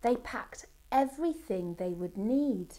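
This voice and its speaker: A middle-aged woman reads a picture book aloud for children. Her voice sits a little high but stays soft and mellow. She takes her time, letting phrases hang with deliberate pauses. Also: animated. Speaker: storybook reader. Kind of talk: expressive children's storybook reading. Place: indoor room by a Christmas tree. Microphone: camera a short distance away.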